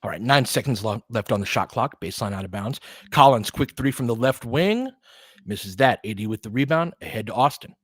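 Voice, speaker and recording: A man in his forties doing casual play-by-play of a basketball game, chatty and excitable, thinking aloud between plays. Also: medium pitch, fairly quick, breathy edge, no echo, clean studio sound. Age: 40s. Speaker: play-by-play host. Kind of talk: casual fan play-by-play commentary.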